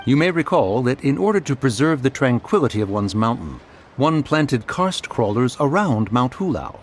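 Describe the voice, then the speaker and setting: An actor playing a dignified ancient spirit in human form in a video game, speaking in formal, archaic phrasing. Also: male voice, medium pitch, very animated, measured pace, slight background noise. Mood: reflective, formal. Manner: formal, archaic, refers to self as one. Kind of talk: English video game dub acting. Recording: voice over background music.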